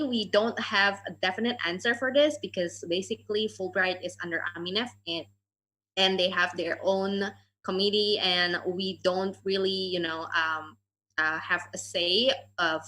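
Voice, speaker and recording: A woman, a moderator and education adviser, moderating an online Q&A over a video call. She reads out questions and answers them, pausing often on "um" and "uh". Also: mid-range voice, bright, forceful tone, low-quality recording.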